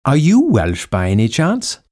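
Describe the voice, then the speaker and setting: A man reading lines of English course dialogues in an expressive, sing-song way. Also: medium pitch, a little slow. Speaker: dialogue reader in a language course. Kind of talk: slow, clearly read textbook dialogue.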